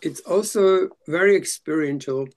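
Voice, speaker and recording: A man whose first language is Slovak, leading a casual reading group chat and speaking haltingly, with frequent restarts. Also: a little high, a little mellow. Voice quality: halting, many restarts and fillers.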